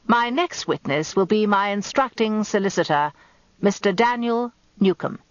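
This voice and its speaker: A woman voicing a theatrical, wily old male defence barrister with slow, grandiloquent courtroom oratory in a radio drama. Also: medium pitch, animated, no echo, dull audio, pitched above the performer's own voice, lightly acted. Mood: brisk.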